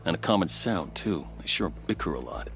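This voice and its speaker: An actor playing a loyal, wry veteran fort captain in a video game, speaking in measured, formal phrases with dry asides. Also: male voice, deep voice, very animated, gravelly, fairly bright tone, exaggerated voice. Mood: wry, proud. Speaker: fort captain quest giver. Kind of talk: video game dialogue acting. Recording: game soundtrack music behind. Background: music.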